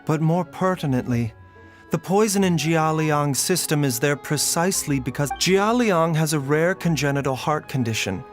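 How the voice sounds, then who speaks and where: An actor playing a calm, courteous doctor who speaks in a measured, formal, precise way, as in a video game. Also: male voice, a little high, close to an everyday voice. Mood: measured, grave. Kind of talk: scripted video game voice acting. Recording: music behind the voice. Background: background music.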